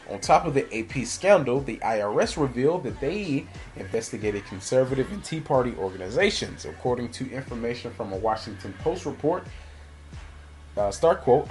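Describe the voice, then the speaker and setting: A man's voice: medium pitch, a little mellow, rough recording. A man presenting news commentary as a radio host, reading from prepared notes and adding his own opinion. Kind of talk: news commentary, partly scripted.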